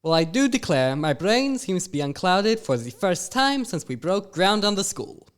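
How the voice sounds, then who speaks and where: A woman's voice: on the low side, very animated, moderate pace. A woman voicing a proper, old-fashioned school founder who talks in a genteel, formal way.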